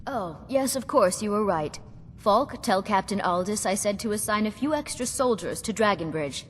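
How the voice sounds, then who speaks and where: A woman's voice: animated, normal speed, close to an everyday voice. Woman playing an earnest regal ruler in a long-echoing hall. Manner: formal, regal. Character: earnest, naive, entitled young noblewoman ruler. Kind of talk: fantasy game character acting. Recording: reverberant hall, faint rumbling noise.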